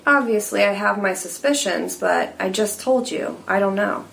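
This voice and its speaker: Lady doing a guarded enigmatic masked woman, creaky mid-pitched voice, dry and deliberate. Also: fairly bright tone, rough recording. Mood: evasive, calm. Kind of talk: dramatic screenplay table read.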